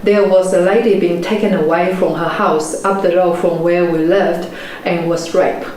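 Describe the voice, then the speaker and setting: A woman in her forties, a native Chinese speaker with a Chinese accent, giving a measured, earnest motivational talk as a property investment mentor. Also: on the low side, fairly even intonation, a little mellow. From China. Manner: measured, earnest storytelling delivery. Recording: very echoey room, faint background noise.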